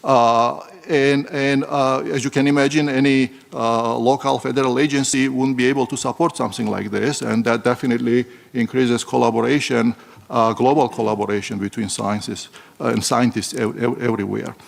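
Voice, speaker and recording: A man speaking on a conference panel about his foundation, halting, with many uhs and repeated words. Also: medium pitch, little pitch movement, vocal fry. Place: large conference hall.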